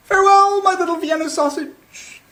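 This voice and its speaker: A man's voice: extremely high pitch, fairly even intonation, moderate pace, pushed and bright, voice pushed up. A man voicing a sweet, doting mother dachshund in a storybook, gushing in a singsong way. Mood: doting, cheerful.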